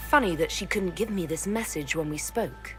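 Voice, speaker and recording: A woman's voice: on the low side, moderate pace, soft background music, close to an everyday voice. An actress playing a wary female leader with a dry wit in a video game cutscene. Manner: guarded, dry.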